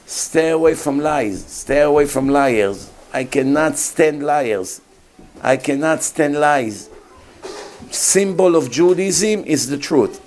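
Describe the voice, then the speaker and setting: Guy preaching, slightly high voice, keeps firing questions at the crowd. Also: slow, darkish tone, slight background noise. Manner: emphatic, rhetorical questions to the audience. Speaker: rabbi giving a talk. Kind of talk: sermon.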